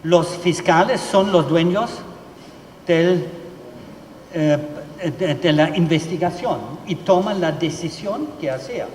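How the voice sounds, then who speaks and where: A man gives a formal talk on law in a large hall. He speaks slowly in a fairly high voice, pausing often on fillers and restarting phrases. The sound is roomy, with a long echo and faint room noise behind it. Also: darkish tone. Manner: halting, many fillers and restarts. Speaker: legal expert speaker.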